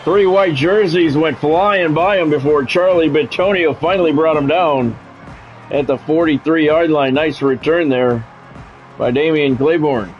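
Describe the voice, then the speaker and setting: A man with a slightly high voice gives play-by-play for a football video game. He speaks in measured, clipped broadcast phrases and slips into casual gamer asides. The sound is a little lo-fi, with faint music underneath. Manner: measured broadcast-style calls, clipped phrases, occasional swearing.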